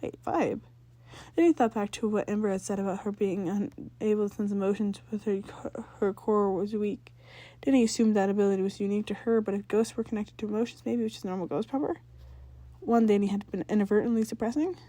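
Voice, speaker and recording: A woman's voice: medium pitch, steady tone, quick pace, mellow, no echo. A woman reading fan fiction aloud as a story narrator, sometimes stumbling and rereading phrases.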